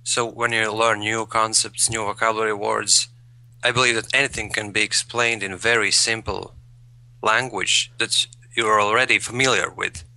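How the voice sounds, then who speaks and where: A man's voice: lowish voice, flat delivery, normal speed, creaky, sharp tone, no echo, low-quality recording. A man, a guest in an interview, speaking thoughtfully and repeating words as he searches for phrasing. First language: Latvian. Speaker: guest, English learner. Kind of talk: conversational interview.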